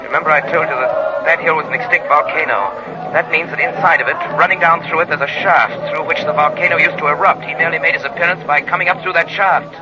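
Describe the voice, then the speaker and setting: An actor playing an authoritative, earnest crime-fighting hero with precise diction, in 1940s radio drama style. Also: male voice, on the high side, steady tone, fast talker, natural voice. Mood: grave, explanatory. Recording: muffled, very noisy old radio broadcast.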